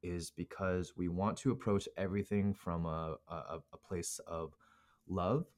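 Very deep-voiced man rambling with fillers. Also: steady tone, unhurried, darkish tone. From Korea. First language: Korean. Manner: rambling, many fillers and restarts. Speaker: podcast co-host. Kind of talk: casual chat.

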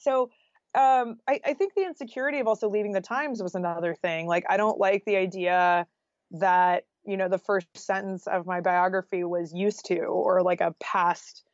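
A woman's voice: mid-range voice, no echo. Female interview guest, American, candid and informal, conversational delivery, dry and slightly muffled recording. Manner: candid, informal, peppered with "like" and "you know". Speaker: guest, freelance journalist.

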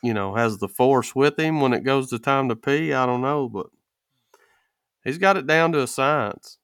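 A man's voice: medium pitch, moderate pace, a bit bright, clean tone, dry recording, studio quality. A man co-hosting a casual chat in colloquial, folksy language. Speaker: podcast co-host.

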